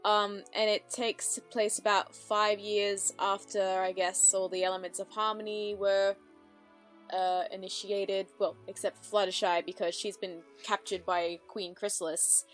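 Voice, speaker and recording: A woman commentates casually on something she is watching, thinking aloud in loose, rambling phrases. Her voice sits at an ordinary middle pitch, with faint music behind her. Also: unhurried. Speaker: blind-reaction commentator. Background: animated audio drama playing with music.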